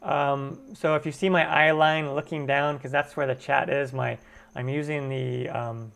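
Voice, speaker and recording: A man's voice: medium pitch, level tone, a little slow, creaky, a bit bright. A man hosting a casual live stream Q&A for his cooking channel, greeting viewers and answering chat comments, recorded from home on a computer webcam mic. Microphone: computer webcam microphone.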